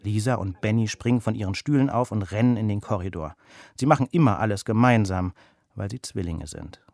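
A man's voice: fairly low pitch, a little fast, gravelly, a little mellow, no echo. A man narrating a children's story in a scripted storybook style.

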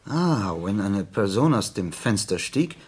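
Husky, thoughtful detective's companion. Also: male voice, lowish voice, big pitch swings, a little mellow, heavily acted. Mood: thoughtful, puzzled. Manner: measured, hesitant, questioning. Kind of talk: radio play dialogue.

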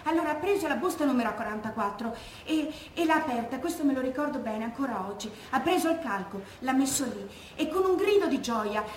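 High female voice, composed bereaved daughter testifying on stage; measured, careful, roomy sound with noise behind. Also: animated. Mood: anguished. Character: educated daughter recalling her father's wartime death, composed, grieving. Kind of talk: theatrical testimony monologue. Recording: echoey room with background noise.

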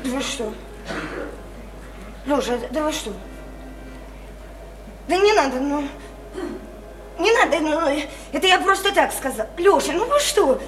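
A woman's voice: high voice, big pitch swings, measured pace, pauses often, faint music behind. An actress in a radio drama playing a naive, sickly, chatty small-town woman who rambles on in simple, insistent folksy speech. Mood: earnest, pleading. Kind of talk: stage-style radio drama acting. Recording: roomy echo, faint noise.

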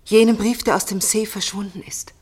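An actress playing a shaken, earnest daughter in a German radio play, with deliberate dramatic phrasing. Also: female voice, mid-range voice, fairly even intonation, a little mellow, breathy edge, lightly acted. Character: Maura, a shaken, earnest daughter recounting grim events.

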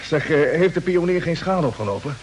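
An actor playing a determined, restless space commander in an old radio drama, insistent but starting his sentences haltingly. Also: fairly high pitch, expressive, close to an everyday voice, low-quality recording. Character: determined, restless male space-fleet commander recovering from a knock. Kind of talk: mid-century Dutch radio drama acting. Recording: hissy old radio recording.

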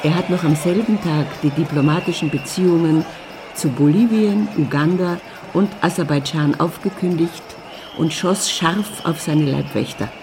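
A sardonic storyteller, played by a man, narrates a rough urban radio drama. His voice sits fairly high with a gravelly creak, against clear background noise. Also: moderate pace, natural voice. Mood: wry narrating. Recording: clearly noisy outdoor ambience.

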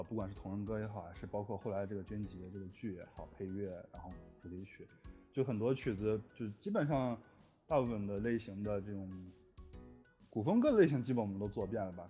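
A man's voice: on the low side, warm tone. A man talking casually and reflectively as a composer in an interview, earnest, with somewhat hesitant phrasing. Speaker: music composer for audio dramas. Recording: dull lo-fi audio with music behind. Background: music.

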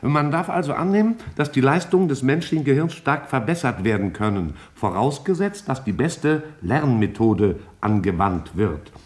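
A man playing a vast alien cloud intelligence in a 1950s German radio drama, lecturing in a measured, formal, detached way. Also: medium pitch, expressive, a little mellow, lightly acted.

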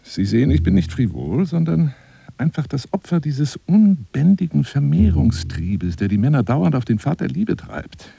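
Lonely self-dramatizing hunter, radio drama monologue, dark gravelly timbre, courtly and intimate, theatrical, close dry sound. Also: male voice, fairly high pitch, very animated, moderate pace, no room sound, heavily acted. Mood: wistful, pleading. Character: lonely self-dramatizing male lion hunter wooing a woman. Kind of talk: radio drama monologue as one side of a phone call.

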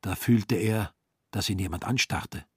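A man narrating a literary radio drama in a scripted storytelling style, with a husky voice. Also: on the low side, unhurried, no room sound, clean studio sound.

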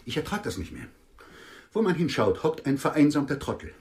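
Bitter, husky-voiced homeless man. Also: fairly high pitch, big pitch swings, brisk, exaggerated voice. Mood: enraged. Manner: theatrical, blunt, insulting. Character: bitter, cutting homeless man on a blind date. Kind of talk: radio play acting.

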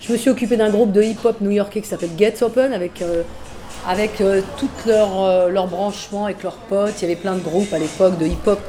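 Middle-aged woman, relaxed chatty interviewee, faint music underneath. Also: mid-range voice, a little fast. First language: French. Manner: relaxed, chatty, many fillers. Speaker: musician guest. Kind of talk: casual interview conversation.

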